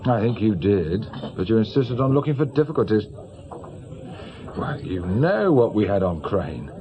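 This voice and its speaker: An actor playing a brisk, self-assured senior British police officer in middle age, matter-of-fact and rather patronising. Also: male voice, fairly low pitch, very animated, noisy background. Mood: patronising, confident. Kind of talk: naturalistic radio drama acting.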